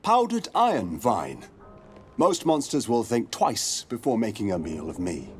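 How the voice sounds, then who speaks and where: An actor playing a courteous but wary monster hunter in a video game, speaking in a measured, formal and ominous way. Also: male voice, medium pitch, very animated, husky, soft background music, exaggerated voice. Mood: wary, wry. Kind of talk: dramatic video game dialogue acting.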